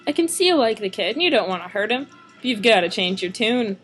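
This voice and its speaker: A woman's voice: fairly high pitch, sing-song, quick pace, a bit bright, faint music behind. A woman playing a tough, no-nonsense private detective in an amateur noir radio drama, curt and streetwise. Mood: stern, urging.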